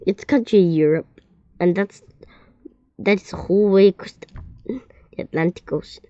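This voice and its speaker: Lady reading a kids' story out loud, trips over words a lot, dry dull lo-fi sound. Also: mid-range voice, moderate pace, dry recording. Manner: expressive, often stumbling and repeating words. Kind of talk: children's story read-aloud.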